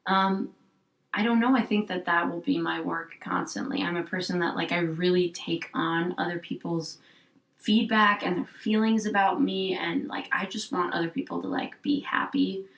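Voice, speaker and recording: A woman's voice: fairly low pitch, fairly even intonation, moderate pace, a bit bright, recorded from a distance. A young woman in her twenties in a candid interview, thinking aloud in a rambling, reflective way, with lots of "like". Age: 20s. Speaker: guest. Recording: echoey room, a bit off-mic.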